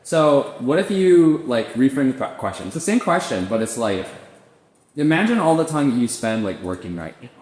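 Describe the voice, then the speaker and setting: A young man hosting a podcast, thinking aloud in relaxed casual chat with lots of fillers. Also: medium pitch, moderate pace. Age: young adult. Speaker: podcast host. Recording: echoey room with long reverb.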